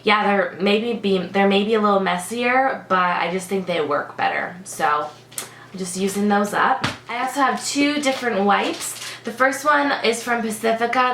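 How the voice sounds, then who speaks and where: A woman's voice: medium pitch, normal speed, fairly bright tone, echoey room, slight background noise. A woman beauty vlogger with a congested, sick-sounding voice, chatting casually through a product review with lots of "like" and "um". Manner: chatty, many "like" and "um" fillers.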